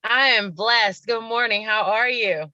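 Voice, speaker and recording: A woman's voice: a little high, a little slow, sharp tone, no echo, highs cut off. A middle-aged woman telling stories casually as an interview guest, effusive and repeating words for emphasis. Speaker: stage actress, interview guest.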